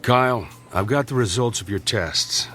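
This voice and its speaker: An actor playing a sceptical, principled doctor in a radio drama, with a dry, reproachful manner. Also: male voice, medium pitch, normal speed, fairly bright tone, soft background music, close to an everyday voice. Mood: grave, explaining. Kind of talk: theatrical radio drama acting.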